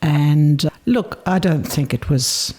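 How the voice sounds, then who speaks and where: Mellow, husky woman in her seventies. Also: low-pitched. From South Africa. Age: 70s. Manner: reflective, measured storytelling with pauses. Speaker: interviewee. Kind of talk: reminiscing in a recorded interview.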